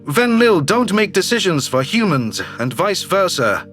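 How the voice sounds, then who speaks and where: A man's voice: sits high, sing-song, unhurried, a bit bright, soft background music, pitched below the performer's own voice, heavily acted. A man voicing a brash, slick alien governor who talks with glib self-assurance, in a sci-fi audio drama. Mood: breezy, confident. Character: brash, slick alien politician, newly elected male governor. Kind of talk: audio drama character reading.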